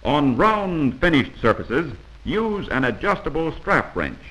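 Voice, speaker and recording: A man's voice: a little high, big pitch swings, measured pace, a bit bright, low-quality recording. A man narrating a 1940s instructional film from a script, in an instructive, emphatic manner on a vintage film soundtrack.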